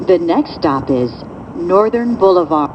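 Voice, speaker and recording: Slow, low-voiced woman announcing subway stops. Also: expressive. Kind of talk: scripted recorded transit announcement. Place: played over speakers inside a subway car. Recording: dull lo-fi capture, loud train noise.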